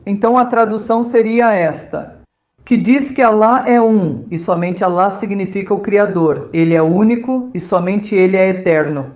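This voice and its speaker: Guy hosting visitors at a mosque, informal chatty talk, keeps checking in with listeners. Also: high voice. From India. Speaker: volunteer host at a mosque.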